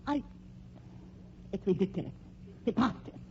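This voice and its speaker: A woman's voice: mid-range voice, lively intonation, normal speed, close to an everyday voice. An actress playing an indignant, calculating young American woman in an old radio crime drama, haughty and protesting. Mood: tempted, hesitant. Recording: dull old radio recording, faint hum.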